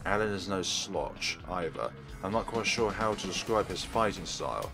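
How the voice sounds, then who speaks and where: A man's voice: deep voice, expressive, normal speed, creaky, over music. A man narrating a visual novel aloud as a let's play host. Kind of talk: reading visual novel aloud, narrating and doing character voices.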